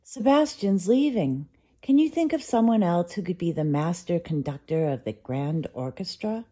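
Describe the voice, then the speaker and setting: A woman voicing a dreamy, excitable young mermaid princess with a gushing, coaxing manner in a bedtime story. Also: on the low side, normal speed, voice pushed up. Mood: playfully persuasive.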